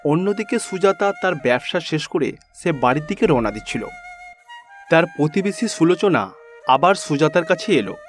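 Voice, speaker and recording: A man's voice: fairly high pitch, lively intonation, moderate pace, faint music behind. A man narrating a scripted children's fairy tale as a storyteller.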